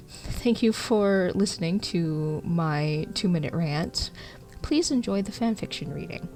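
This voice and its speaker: A woman's voice: fairly low pitch, darkish tone. A woman speaking informally and expressively as an amateur narrator of her own fan fiction. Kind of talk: informal intro and expressive storybook-style reading.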